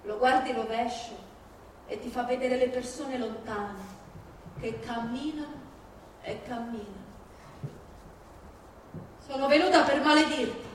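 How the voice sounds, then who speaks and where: A woman's voice: fairly high pitch, measured pace, long pauses, natural voice. A woman playing a grieving, bitter mother speaking to her dead son in a theatrical monologue. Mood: wistful, subdued, then bitter reproach. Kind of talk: theatrical dramatic monologue in a staged reading. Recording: very echoey, distant, faint noise.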